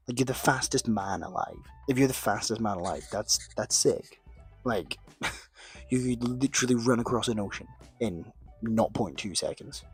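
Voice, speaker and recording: A man's voice: lowish voice, breathy edge. A young man, rambling through would-you-rather questions in casual chat, mocking himself, swearing offhand and restarting his sentences. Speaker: video creator answering questions.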